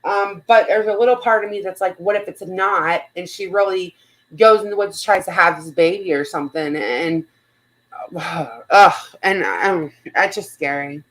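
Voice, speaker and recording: A woman's voice: fairly low pitch, moderate pace, fairly bright tone, rough recording. A woman hosting a casual livestream chat, speaking in a blunt, outspoken way and swearing freely. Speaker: livestream host.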